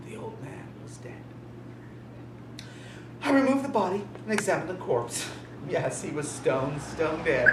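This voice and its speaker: A man giving a theatrical gothic horror reading as a nervous, obsessive madman confessing to murder. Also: fairly high pitch. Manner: theatrical, swinging from hushed to loud. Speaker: dramatic reader. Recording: roomy, distant microphone, noisy background.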